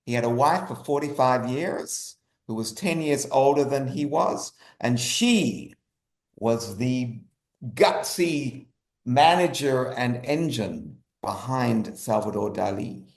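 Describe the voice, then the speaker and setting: A man speaking as an interview guest, enthusiastic and halting, often repeating phrases as he goes. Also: medium pitch, vocal fry. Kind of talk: conversational interview talk. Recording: roomy echo.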